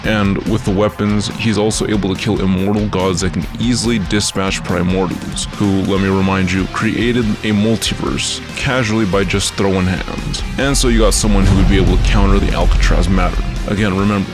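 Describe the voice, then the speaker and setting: Male video essay narrator, low register, informal scripted explainer read, clear music bed underneath. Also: fairly even intonation, moderate pace, hardly any pauses.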